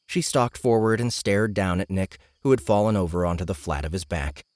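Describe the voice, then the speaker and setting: A man's voice: sits low, brisk, a little mellow, no room sound, clean studio sound. A man narrating a fantasy audiobook from a script.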